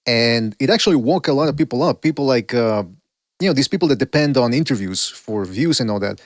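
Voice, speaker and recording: A man's voice: medium pitch, lively intonation, fairly quick, no echo. Mexican-accented male podcast host, dry sound. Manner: rambling, reflective, asks long questions. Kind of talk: casual podcast interview.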